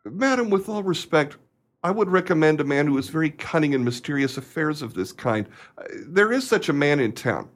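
A man's voice: a little high, animated, fairly quick. Man playing a nervous, swooping servile footman. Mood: deferential, earnest. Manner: stammering, servile, earnest. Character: nervous, deferential footman, eager believer in conjurers. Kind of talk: radio play acting.

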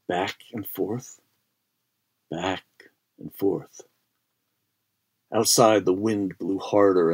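Low-voiced man telling a ghost story. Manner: measured, suspenseful, with deliberate pauses and hushed phrases. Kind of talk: dramatic first-person ghost story narration.

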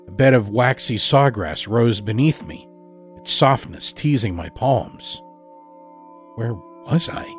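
A man telling a scripted first-person horror story as storyteller, dramatic and expressive. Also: on the low side. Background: musical score.

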